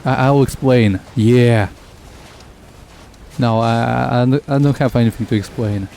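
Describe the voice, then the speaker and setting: A man who is a native Russian speaker, streaming a game with casual, halting, jokey commentary. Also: mid-range voice, fairly even intonation, vocal fry, warm tone, a little hiss or hum.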